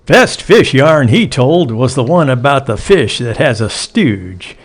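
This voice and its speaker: A man's voice: mid-range voice, big pitch swings, unhurried, soft background music. A man voicing a droll, folksy wisecracker from a 1930s comic strip, read theatrically. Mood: droll teasing.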